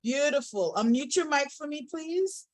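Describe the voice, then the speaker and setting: High-pitched woman, encouraging online poetry host, dry close video-call sound. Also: expressive, moderate pace, no echo. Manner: warm, encouraging, polite. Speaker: event host. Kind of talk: hosting an online poetry reading.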